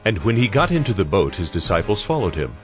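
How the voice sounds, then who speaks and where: A man's voice: lowish voice, sing-song, quick pace, soft background music. A man narrating scripture from a script in an audio drama.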